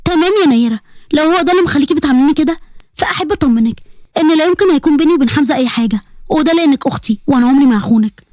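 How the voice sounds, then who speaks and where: A woman's voice: high-pitched, very fast, a little mellow, no room sound, studio quality, natural voice. A woman voicing a sensitive, proud, anxious young woman with an Egyptian accent in an audio story. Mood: firm, hurt. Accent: Egyptian Arabic.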